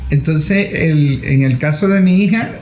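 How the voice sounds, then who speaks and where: A man's voice: on the high side, unhurried, a little mellow, faint music behind. A middle-aged man interviewing a guest in a conversational way. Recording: echoey, roomy sound.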